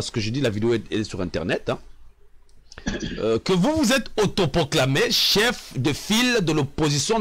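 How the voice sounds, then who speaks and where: A man's voice: a little high, fairly bright tone. A man hosting a political interview, wordily circling and rephrasing his questions. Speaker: interviewer, show host.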